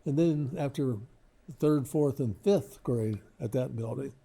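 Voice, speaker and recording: An older man, reminiscing casually as an interview guest in a halting, modest, rambling way. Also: mid-range voice, vocal fry, mellow, husky. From Lebanon, Ohio, United States.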